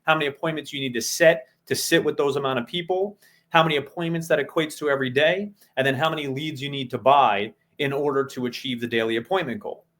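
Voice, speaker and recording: A man's voice: mid-range voice, steady tone, fast, fairly bright tone. A man co-hosting a casual business podcast who explains things methodically, step by step. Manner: methodical, breaks goals down step by step. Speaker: podcast co-host.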